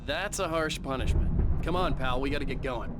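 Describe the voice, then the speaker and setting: An actor playing a no-nonsense, concerned friend in a video game. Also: male voice, medium pitch, a little fast, close to an everyday voice. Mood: urgent, dry. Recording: loud ambient noise behind voice.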